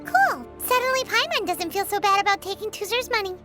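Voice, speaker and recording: A woman's voice: very high voice, lively intonation, fairly quick, sharp tone, full character voice. A woman voicing a tiny, sassy floating female companion creature who talks bluntly and cheekily in a video game. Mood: cheeky. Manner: cheeky, blunt, refers to herself in third person. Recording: game audio over clear music.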